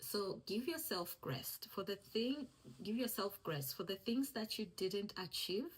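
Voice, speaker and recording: A woman's voice: medium pitch, expressive, a little slow, husky, low-quality recording. A young woman from East Africa, a Swahili speaker, giving an encouraging faith-based vlog talk in a conversational way that repeats its key phrases. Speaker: vlogger.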